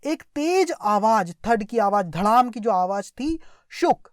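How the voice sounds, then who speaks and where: High-pitched Indian woman teacher. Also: expressive, no room sound, studio quality. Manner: explanatory, repetitive, with check questions and slowly read-out sentences. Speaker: English teacher. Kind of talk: line-by-line story lesson with translation and word explanations.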